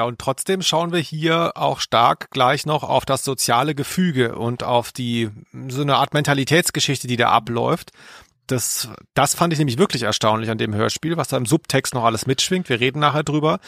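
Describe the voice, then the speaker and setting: A man with a mid-pitched, creaky voice co-hosts a podcast. He chats casually and analytically, recorded dry and close. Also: fairly bright tone, no room sound. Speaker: podcast co-host.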